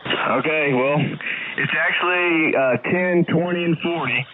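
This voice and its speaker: A man's voice: a little high, unhurried, crowd noise. An American man calling in over two-way radio, chatting casually in a plainspoken, hesitant way. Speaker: amateur radio caller. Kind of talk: casual ham radio chat. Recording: narrow tinny ham radio signal, static.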